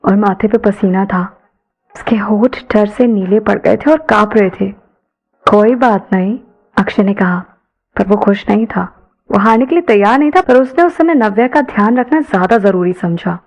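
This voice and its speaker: High-voiced woman narrating a dramatic story. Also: creaky, darkish tone, low-quality recording.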